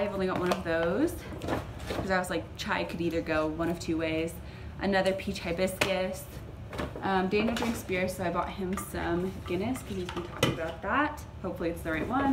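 A young woman in her twenties talks through her groceries in a chatty, upbeat way, dropping plenty of "um"s and "like"s. Her voice sits at an ordinary middle pitch. A clear hum of room noise runs under her, picked up by a camera set close on the table at home. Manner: chatty, upbeat, lots of fillers. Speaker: vlogger. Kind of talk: casual grocery haul chat. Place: small indoor room at home.

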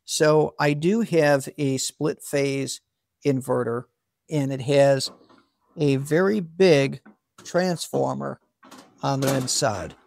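A man's voice: mid-range voice, takes it slowly, vocal fry, a little mellow. A man in his forties hosting a solar equipment channel, explaining his setup in a plainspoken, practical way from an indoor garage workshop. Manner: plainspoken, practical, with frequent fillers and restarts. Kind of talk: casual explainer, talking to camera.

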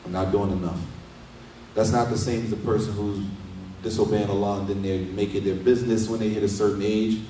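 A middle-aged man talks through a religious lesson in a relaxed, conversational way, dropping rhetorical questions as he goes. His voice is low and mellow. The sound is echoey and distant, as if picked up by a desk mic set back in a small room. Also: brisk, a little hiss or hum. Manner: conversational, asks rhetorical questions with frequent "right?". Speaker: imam giving a lesson. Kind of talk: casual Islamic lecture. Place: small indoor study room. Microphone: desk mic on a stand.

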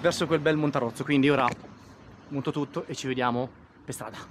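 A man's voice: medium pitch, steady tone, quick pace. A man giving casual, exclamatory commentary as he hosts a motorcycle vlog outdoors. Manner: effusive, exclamatory, enthusiastic. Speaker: motovlogger host. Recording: loud wind and engine noise.

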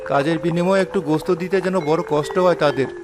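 A man voicing a poor, gentle, downcast village father in a cartoon, weary and plaintive. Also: on the high side, quick pace, natural voice. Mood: resigned, hopeful. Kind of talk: cartoon dialogue dub. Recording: music under the voice.

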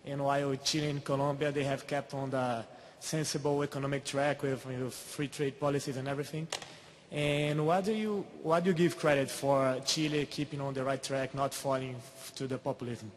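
A man's voice: medium pitch, fairly even intonation, moderate pace, slight background noise. A young man asking a question in a casual way, using "like" as a filler. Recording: reverberant hall with faint crowd ambience.

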